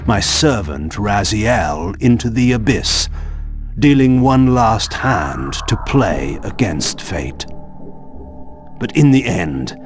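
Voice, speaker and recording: A proud, brooding vampire lord with a very deep voice, speaking slowly and eloquently. The delivery is theatrical and sardonic, as in a gothic video game, with music behind. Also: male voice, heavily acted. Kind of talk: dramatic video game narration and dialogue.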